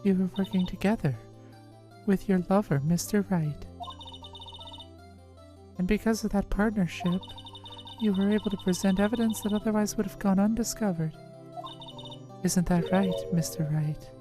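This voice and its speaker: A woman's voice: medium pitch, moderate pace, pauses often, mellow, soft background music, lightly acted. A woman voicing a composed, earnest female chief prosecutor in a fan dub of a video game. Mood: earnest, persuading. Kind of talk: fan voice acting of a visual novel game.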